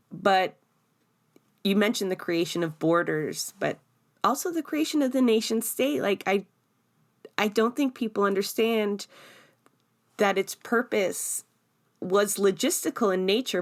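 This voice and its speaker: A Canadian woman hosting a podcast, speaking in a conversational political discussion. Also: medium pitch. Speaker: podcast host.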